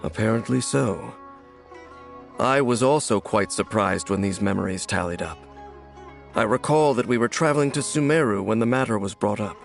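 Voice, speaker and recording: An actor playing a stern, world-weary ancient warrior in a video game, grave, measured and formal, with a gravelly voice. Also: male voice, soft background music. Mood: brooding, reflective. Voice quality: low, gravelly.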